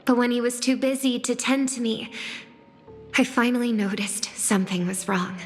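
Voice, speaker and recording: A woman voicing a composed, kind young singer in a video game, speaking in a measured, formal and earnest way. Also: fairly high pitch, natural voice. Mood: grave, reflective. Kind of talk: video game character dialogue. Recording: long reverb, music behind.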